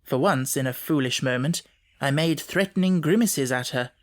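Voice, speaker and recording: Give a man's voice of medium pitch for audiobook narration. He reads literary first-person prose as a formal storyteller, with measured, deliberate phrasing.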